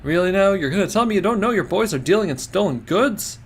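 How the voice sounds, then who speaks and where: A man voicing a cocky male reporter in a game, loud, brash and taunting. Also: on the high side, expressive, quick pace, a little hiss or hum, pitched above the performer's own voice.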